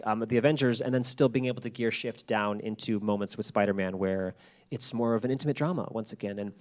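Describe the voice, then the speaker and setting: A man speaking informally on a panel about his craft, explaining things in an encouraging, hesitant way as he thinks aloud. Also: medium pitch. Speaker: guest speaker, podcast creator. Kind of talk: informal panel talk on storytelling. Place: live library event.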